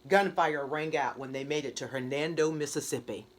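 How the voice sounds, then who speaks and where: A woman reading her children's book aloud in an expressive, dramatic storytelling manner. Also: low-pitched, normal speed. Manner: animated, dramatic read-aloud delivery.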